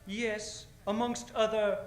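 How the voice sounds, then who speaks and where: A man's voice: high voice, flat delivery, takes it slowly. A man playing a slippery, smugly polite pawnbroker in an old-time radio drama, with affected, formal phrasing. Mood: smugly evasive. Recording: roomy sound, faint music behind.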